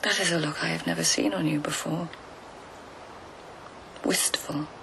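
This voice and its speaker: An actress playing an observant court lady of tenth-century Japan in a radio drama, speaking in a lyrical, measured, breathy way. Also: low voice, fairly even intonation, fairly bright tone, a little hiss or hum, exaggerated voice, poor audio. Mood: probing, gentle. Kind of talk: radio drama acting and narration.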